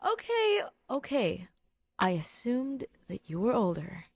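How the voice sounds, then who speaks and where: A warm-hearted, awkward male teacher in middle age as a woman reads him, easily flustered. He repeats his words, and the recording is dry and close. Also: on the high side, wildly swooping pitch, slow, husky, no room sound, voice pushed up, exaggerated voice.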